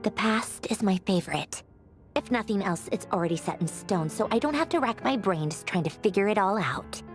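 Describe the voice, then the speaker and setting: An actress playing a haughty, sharp-witted young woman in a video game, sardonic and offhand with a dryly theatrical edge. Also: mid-range voice, lively intonation, normal speed, natural voice. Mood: dry, wistful. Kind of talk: scripted video game voice acting. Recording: music playing behind the voice.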